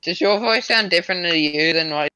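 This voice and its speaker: A man's voice: sits high, brisk, pushed and bright, low-quality recording. Giggly teen boy sidekick on stream. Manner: giggly, playful, mock-offended. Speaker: co-host friend on stream. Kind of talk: casual gaming livestream chat.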